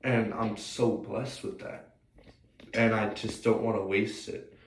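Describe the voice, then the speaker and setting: A man's voice: fairly low pitch, level tone, takes it slowly. A young American man with a slightly scratchy voice, rambling hesitantly as he thinks aloud in a casual, unscripted personal vlog. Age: young adult. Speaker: vlogger. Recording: roomy, distant mic, faint noise.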